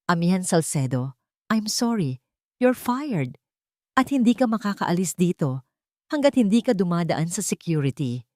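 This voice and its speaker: A woman's voice: lowish voice, big pitch swings, normal speed, pauses often, mellow, no echo, clean studio sound. A woman voicing a middle-aged male hotel manager with a formal, official manner in an audio story. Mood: stern, final. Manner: formal, deferential to clients, firm with staff.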